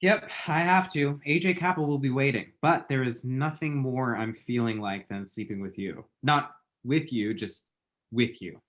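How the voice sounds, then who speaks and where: A man acts out a self-deprecating, smitten young man in a romantic comedy script. He speaks at an ordinary male pitch, awkward and halting, in a naturalistic table-read style. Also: fairly bright tone, natural voice. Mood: playful banter.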